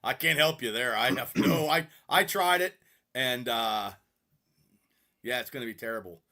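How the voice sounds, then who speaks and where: A man's voice: medium pitch, moderate pace, pushed and bright. A man co-hosting a podcast over a video call, cracking jokes and teasing in casual chat. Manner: jokey, teasing. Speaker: podcast co-host.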